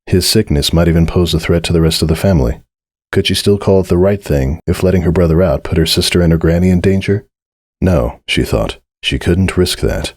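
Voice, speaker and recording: A man giving a dramatic scripted reading of a story as narrator, with measured, solemn and deliberate phrasing. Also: low voice, a little mellow, no room sound, studio quality.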